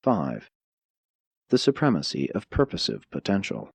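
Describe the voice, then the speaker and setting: A man narrates an audiobook in a low voice with a husky edge. His delivery is formal and measured, yet the intonation moves widely as he reads scripted prose. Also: huge pitch swings, no echo. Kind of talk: scripted reading of religious philosophy.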